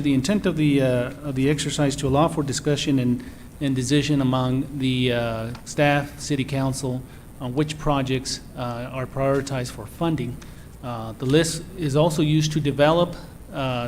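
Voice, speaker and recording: A man's voice: medium pitch, a little mellow, slight background noise. Man presenting formally, many uhs. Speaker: city staff presenter. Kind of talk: public meeting presentation. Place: city council chamber.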